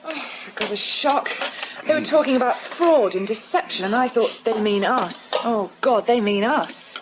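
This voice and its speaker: An actress playing an anxious, apologetic wife in a radio drama, nervous in manner. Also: female voice, on the high side, moderate pace, lightly acted. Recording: muffled, noisy radio broadcast recording.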